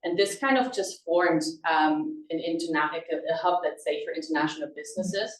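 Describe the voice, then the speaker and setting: Low-voiced German woman in an echoey room. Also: a little fast. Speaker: recruitment consultant co-hosting a webinar. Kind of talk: conversational webinar presentation. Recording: roomy echo, distant microphone.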